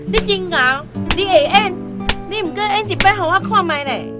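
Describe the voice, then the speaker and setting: A woman with a Taiwanese accent playing a jealous, sharp-tongued foster mother scolding in a radio drama. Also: very high voice, lively intonation, moderate pace, loud music behind the voice.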